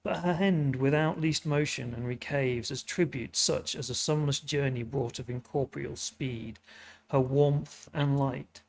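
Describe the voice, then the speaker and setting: An earnest, wondering first man questions the heavens in solemn verse, voiced by a British man reading aloud. The voice is gravelly, hoarse and stuffy, and the delivery is slow and measured. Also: mid-range voice, fairly even intonation, a little mellow. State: sniffly and hoarse.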